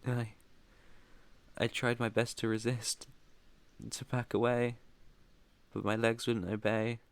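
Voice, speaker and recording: A British man playing a protective, haunted yet tender vampire master in an intimate ASMR roleplay, speaking softly, earnestly and deliberately. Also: medium pitch, little pitch movement, clear, resonant tone, no room sound. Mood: haunted, solemn.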